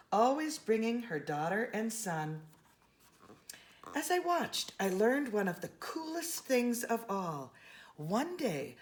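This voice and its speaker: A woman reading a children's picture book aloud, expressively and with deliberate emphasis on key words. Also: a little high, a little mellow. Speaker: storybook reader.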